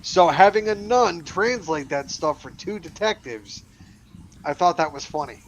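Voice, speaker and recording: A man's voice: a little high, expressive, measured pace, slight background noise. A man co-hosting a podcast in casual chat, rambling with restarts and swearing. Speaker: podcast co-host.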